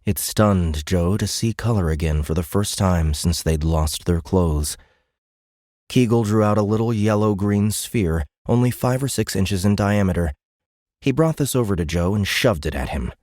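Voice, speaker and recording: A man's voice: deep voice, normal speed, darkish tone, dry recording, clean studio sound. A man reading scripted, dramatic science fiction narration as an audiobook narrator.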